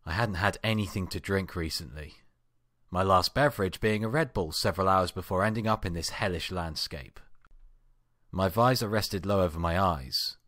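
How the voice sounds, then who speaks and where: A man with a deep voice reads a first-person tale as an amateur narrator. His delivery is expressive and theatrical, acting out the exasperation in the text. The sound is dry, with very little room in it. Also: moderate pace, no room sound. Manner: expressive, acts out exasperated outbursts. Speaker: amateur fan fiction reader. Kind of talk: dramatic first-person story reading.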